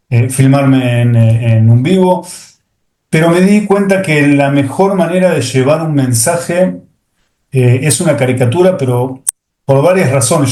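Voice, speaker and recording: Middle-aged man as interview guest; hesitant, mid pitch, recorded in a reverberant room. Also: a little mellow. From Argentina. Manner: hesitant, many fillers and restarts. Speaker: guest, software executive. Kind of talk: interview conversation.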